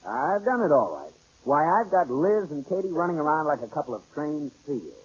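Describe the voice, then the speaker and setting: An actor with an American accent playing a smug, brisk husband and bank employee in a 1950s-style radio sitcom. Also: male voice, fairly high pitch, big pitch swings, breathy edge. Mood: eager to please. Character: self-satisfied husband and eager bank employee. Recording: dull vintage radio recording.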